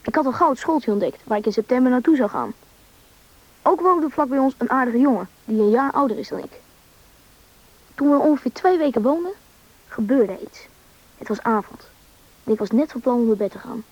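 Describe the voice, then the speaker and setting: A woman's voice: a little high, lively intonation, fairly quick, pauses often, darkish tone. A woman playing a young child who narrates an adventure in a radio drama, in plain storytelling style. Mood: reflective storytelling. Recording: dull, muffled old radio recording.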